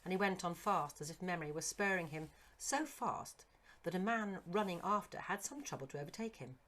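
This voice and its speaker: A woman reading a Victorian horror story as scripted narration, in an expressive, literary storytelling manner. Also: on the low side, brisk. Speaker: narrator, audiobook reader.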